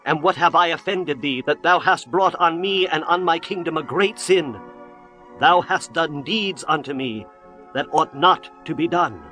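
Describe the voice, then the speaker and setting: An indignant, higher-voiced ancient king. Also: unhurried, sharp tone, soft background music. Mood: accusing. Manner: formal, declamatory, archaic phrasing. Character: indignant righteous ancient king confronting and pleading. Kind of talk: dramatized scripture audiobook acting.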